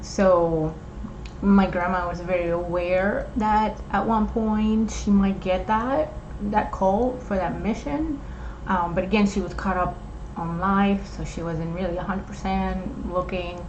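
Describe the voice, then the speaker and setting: A young woman from Puerto Rico, with a Puerto Rican accent and Spanish as her first language, explaining things in a measured way. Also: medium pitch, a little mellow, dull audio, a little hiss or hum. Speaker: host. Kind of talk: casual chat.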